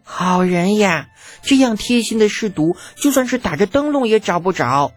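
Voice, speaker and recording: A woman voicing an excitable, greedy young crown prince in an audiobook, with a dramatic, exclamatory manner. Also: mid-range voice, moderate pace, slightly rough, dry recording, faint music behind. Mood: delighted, grateful. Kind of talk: audiobook character acting.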